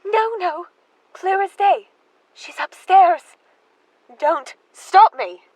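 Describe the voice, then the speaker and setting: A young woman playing a devout, unhinged murderous sister in an audio drama, with halting, fervent delivery. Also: extremely high pitch, expressive, a little mellow, dry recording, exaggerated voice, low-quality recording. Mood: frantic, defiant. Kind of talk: high school audio drama acting.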